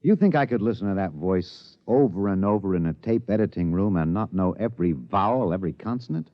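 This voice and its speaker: A man playing an excited, obsessed radio reporter with an American accent, stammering and emphatic in 1950s radio drama style. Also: deep voice, a little fast, dry recording. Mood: urgent, insistent. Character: excited radio newsman, agitated, obsessed with breaking a cover-up. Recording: dull vintage radio recording.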